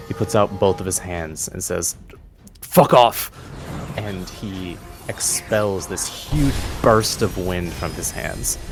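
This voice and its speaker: A man with a low voice runs a tabletop game as game master. He tells the action dramatically, with faint music playing underneath.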